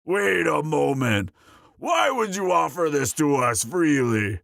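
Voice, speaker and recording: A man voicing a gruff, boastful male dwarf who breaks into loud outbursts. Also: fairly high pitch, very animated, takes it slowly, dry recording, studio quality, full character voice. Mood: suspicious. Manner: bombastic, gravelly.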